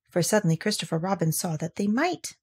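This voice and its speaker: A woman reading a children's story aloud as an expressive narrator. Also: medium pitch, husky.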